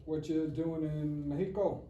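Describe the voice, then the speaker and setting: An actor playing a laid-back, zen young surfer dude in a live comedy table read, with an easygoing manner. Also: male voice, on the high side, fairly even intonation, narrow, tinny audio, close to an everyday voice. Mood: mellow. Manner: drawn-out, easygoing. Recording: echoey room, distant mic, faint noise.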